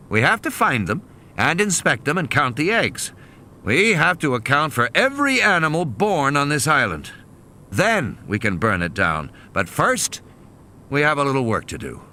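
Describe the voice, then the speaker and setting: A man's voice: medium pitch, sharp tone, a little hiss or hum, pitched above the performer's own voice, full character voice. A man voicing a commanding, angry male scientist in an audiobook, blunt and scolding. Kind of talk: audiobook character dialogue.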